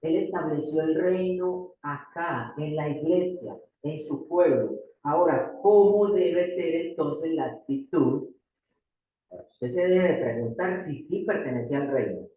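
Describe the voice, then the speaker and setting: A man's voice: on the high side, slow. A Colombian man with a Paisa accent preaching a sermon as pastor, emphatic, repeating key phrases and putting rhetorical questions to the congregation. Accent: Colombian Paisa Spanish. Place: church hall. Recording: distant echoey church room, phone-like thin sound.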